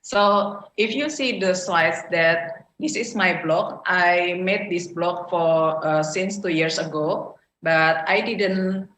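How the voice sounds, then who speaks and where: A woman's voice: on the low side, slow, hardly any pauses, fairly bright tone, roomy sound, a little hiss or hum, low-quality recording. A woman with an Indonesian accent giving a prepared speech at an online club meeting, hesitating often with "uh" fillers. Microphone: video call.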